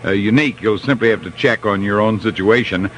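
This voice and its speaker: An American man giving a live radio market report in a halting, chatty way. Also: on the low side. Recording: noisy old radio broadcast recording.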